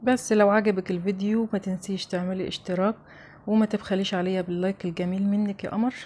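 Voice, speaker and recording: Mellow-voiced Egyptian woman explaining recipes. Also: medium pitch, fairly even intonation, quick pace, slight background noise. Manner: chatty, addresses viewers familiarly. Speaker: home cooking show host. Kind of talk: casual step-by-step recipe narration.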